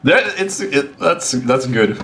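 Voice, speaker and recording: A young man streaming a game from home, reacting casually in an amused, excitable way. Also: mid-range voice, slow pace, background noise. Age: young adult. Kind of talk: casual live reaction commentary. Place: small room at home.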